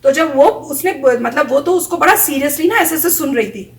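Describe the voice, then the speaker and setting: A woman's voice: high voice, expressive, moderate pace, distant mic. A woman vlogger chatting casually, telling stories from her school days and acting out the lines of her friends. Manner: chatty, tells anecdotes with quoted voices. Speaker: vlogger reacting to a video. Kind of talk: casual storytelling chat. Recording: echoey room, voice a little off mic.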